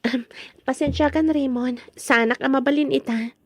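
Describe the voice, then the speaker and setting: A woman's voice: high voice, fairly even intonation, normal speed, no echo, studio quality. A woman playing a polite, caring young secretary in an audio drama, with an Ilocano Filipino accent. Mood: apologetic. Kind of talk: Ilocano drama series voice acting.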